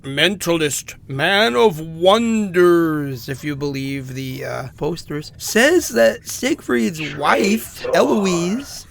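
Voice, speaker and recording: An actor playing a bitter, wounded ex-convict in an audio drama monologue, halting and wry as he confides. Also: a little high, expressive, creaky, fairly bright tone, full character voice. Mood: wry, musing. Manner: halting, wry, muttering asides with chuckles.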